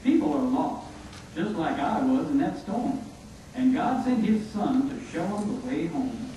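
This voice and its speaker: A man voicing a folksy, gentle frontier father in a puppet show, telling things earnestly. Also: sits high, sing-song, a little slow. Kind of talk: scripted church puppet show dialogue. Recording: echoey room, distant mic, faint noise.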